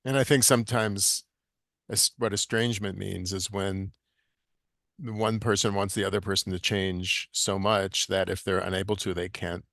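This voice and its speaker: A man leading a book talk, speaking in a measured, reflective way. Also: deep voice, steady tone, gravelly, fairly bright tone, dry recording, studio quality. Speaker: interviewer.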